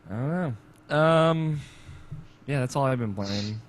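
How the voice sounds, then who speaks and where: A man's voice: mid-range voice, lively intonation, slow pace. A man hosting a podcast from a small home studio, talking laid-back and casually about games, swearing now and then. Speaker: podcast host. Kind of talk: casual gaming chat. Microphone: desk mic on arm, headphones.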